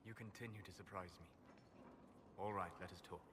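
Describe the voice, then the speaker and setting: A man voices a reserved scholar from a fantasy video game. His deep voice is formal and understated, set against clearly audible ambient noise. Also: fairly even intonation, fairly quick, close to an everyday voice. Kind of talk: dramatic video game dialogue.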